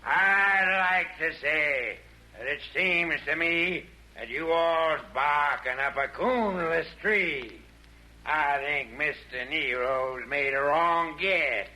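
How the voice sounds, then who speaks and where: A crooked Southern villain with a slow drawl. His voice is fairly high and sharply bright, in old radio comedy sound. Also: exaggerated voice, poor audio. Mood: folksy, scheming. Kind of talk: 1940s radio comedy acting. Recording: old 1940s radio broadcast.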